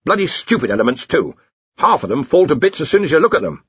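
A male reader acts out a blustering elderly wizard in charge of a magical college. He is brusque and scornful, pitched above plain narration, with animated swings and a dull-edged recording. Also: quick pace, slightly rough, dry recording, full character voice. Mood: gruff, dismissive. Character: blustering, bossy older male wizard university head.

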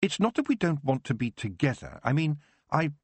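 An earnest young witch, sure of her gift yet torn inside, as a male reader voices her. The voice sits raised above his own and stays husky and heartfelt. It is recorded close and dry. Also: fairly high pitch, sing-song, moderate pace, darkish tone, dry recording, pitched above the performer's own voice, exaggerated voice. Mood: heartfelt, troubled.

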